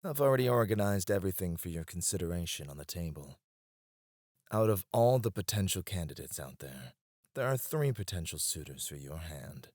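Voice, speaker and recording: A man's voice: deep voice, sing-song, brisk, a little mellow, no echo, clean studio sound. A man playing a devoted, teasing royal advisor in a romantic ASMR roleplay, speaking in a formal, courtly way. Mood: deferential, coaxing.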